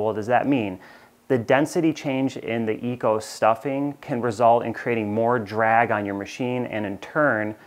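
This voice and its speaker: A man in his thirties with an American accent walks through a product explainer in a clear, steady, informative way. His voice sits at an ordinary middle pitch. He is recorded close on a lapel mic in a bright studio. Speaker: company presenter. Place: bright studio with shelves of plush toys.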